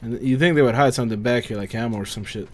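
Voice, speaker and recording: A man's voice: medium pitch, quick pace. A man giving casual, profane gameplay commentary, muttering to himself as he plays. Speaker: video game player. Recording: noisy, game gunfire behind. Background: game ambience and gunfire.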